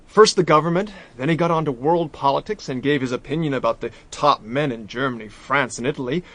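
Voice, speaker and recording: An actor playing a brash, excitable young American diplomat with slangy speech. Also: male voice, mid-range voice, brisk, no echo, lightly acted. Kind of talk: radio drama acting.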